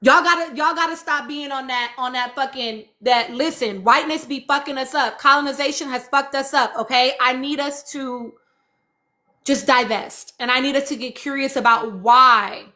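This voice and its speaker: Bright, high-voiced woman speaking with emphasis. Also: normal speed. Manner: emphatic, repeats phrases for stress, urging listeners. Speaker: live-stream host reading and answering audience comments. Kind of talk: impassioned informal live commentary.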